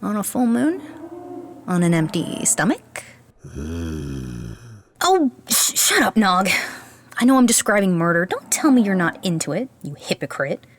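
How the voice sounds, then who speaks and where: An actress playing an exasperated, sardonic young animal keeper in an audio drama, with a snippy, dramatic, grumbling manner. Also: female voice, medium pitch, big pitch swings, unhurried, long pauses. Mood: grumbling, scheming. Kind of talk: audio drama character acting.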